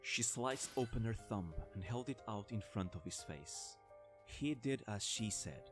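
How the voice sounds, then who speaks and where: A man's voice: fairly low pitch, lively intonation, unhurried, over music. A man narrating a scripted fantasy war story as the narrator of an audio drama.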